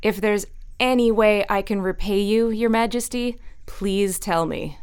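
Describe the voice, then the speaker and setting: A man's voice: high voice, measured pace, clean tone, pitched above the performer's own voice. A man voicing an elderly female wanderer who speaks in a formal, dignified way.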